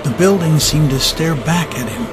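A man's voice: a little high, lively intonation, normal speed, lightly acted. A man narrating a steampunk adventure audio drama as a scripted, dramatic storyteller. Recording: music under the narration.

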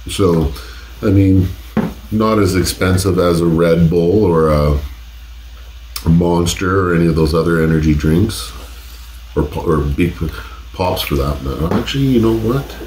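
A man in his forties speaks in a very low, congested voice, stuffed up from a cold. He talks in a laid-back, casual way and swears freely, recorded on a desk mic in a roomy home office with a faint hum behind him. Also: a little slow, long pauses, darkish tone. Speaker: host. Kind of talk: casual taste-test vlog. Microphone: desk condenser mic.

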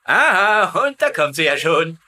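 An actor playing a vain, gullible, middle-aged German camp commandant with a pompous manner in a sitcom dub. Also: male voice, on the high side, sing-song, unhurried, bright, forceful tone, full character voice. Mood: smug, gloating. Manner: pompous, repeating tag questions. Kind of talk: dubbed sitcom acting.

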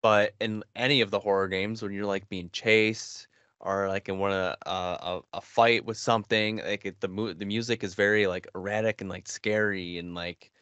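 A man in his twenties co-hosting a podcast, chatting casually in halting phrases. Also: lowish voice, little pitch movement, fairly bright tone, smooth, no echo. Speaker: podcast co-host. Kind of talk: casual chat. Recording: dull, lo-fi voice call sound.

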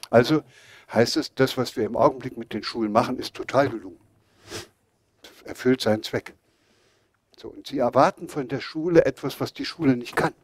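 A man's voice: mid-range voice, normal speed, long pauses, slightly rough, dry recording, studio quality. An older man speaking bluntly and emphatically as he answers audience questions at an outdoor lecture. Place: outdoors in a garden before a seated audience.

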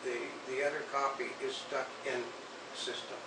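A man's voice: medium pitch, flat delivery, normal speed. A man in his sixties chatting casually as co-host, explaining things in a rambling way. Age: 60s. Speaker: co-host of a home media expo wrap-up. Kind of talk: casual chat. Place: small indoor room, seated on a couch. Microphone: camera a few feet away. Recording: echoey room, distant mic, clear noise.

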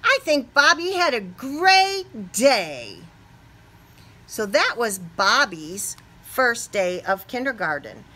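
A woman's voice: fairly high pitch, takes it slowly. A middle-aged American woman teaching kindergarten, talking playfully to young children as she leads a read-aloud. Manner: animated, playful, exaggerated questions and reactions as to small children. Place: small classroom, indoors.

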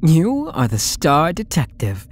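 A man's voice: on the high side, wildly swooping pitch, slow pace, full character voice. A young man playing a possessive, lovesick stalker under interrogation in an ASMR audio roleplay, coy, pleading and theatrical, drawing out his words. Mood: challenging, intense. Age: young adult.

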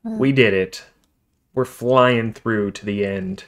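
A man's voice: on the low side, takes it slowly. A man co-hosting a casual co-op game playthrough. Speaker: gaming channel co-host.

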